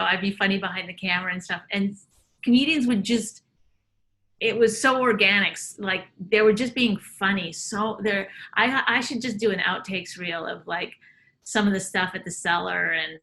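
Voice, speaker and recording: Comedian lady rambling thru stories, bright sharp voice, lotta "like"s. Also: medium pitch. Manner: rambling, loose storytelling with many fillers. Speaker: comedian guest. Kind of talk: casual interview chat.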